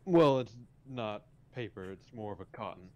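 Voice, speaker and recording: A man playing a polite, hesitant police officer in improvised game roleplay, speaking in a halting, matter-of-fact way. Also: lively intonation, lightly acted. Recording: dull lo-fi mic with faint rumble.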